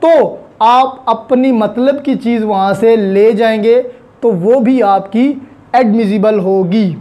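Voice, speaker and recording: Mellow-voiced Pakistani woman lecturing. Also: a little high, takes it slowly, dull audio. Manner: didactic, mixes in English legal terms. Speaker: law lecturer. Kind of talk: explanatory online lecture with everyday examples.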